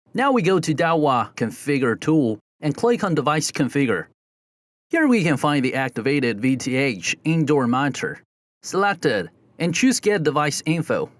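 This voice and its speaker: Male tutorial narrator, slightly high register, very animated intonation, methodical instructional read, dry close-miked voice-over. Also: no echo. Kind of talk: scripted step-by-step software tutorial narration.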